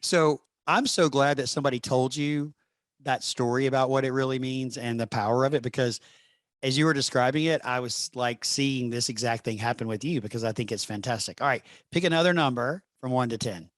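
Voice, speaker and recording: A man hosting a podcast, friendly and encouraging as he takes his time with each question. His voice sits at a middle pitch. It is recorded dry and close. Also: brisk, a bit bright, no room sound. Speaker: podcast host. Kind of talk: casual podcast interview.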